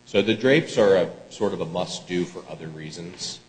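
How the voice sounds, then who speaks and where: A man presenting to a city council and answering questions in a measured, hedging way. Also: low voice. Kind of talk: explaining a plan in a meeting. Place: council chamber. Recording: reverberant hall, faint hum.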